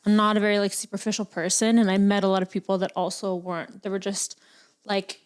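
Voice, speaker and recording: A woman's voice: mid-range voice, level tone, quick pace, clear, resonant tone. A young adult woman chatting candidly as a podcast guest, talking freely and filling her speech with "like". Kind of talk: casual chat.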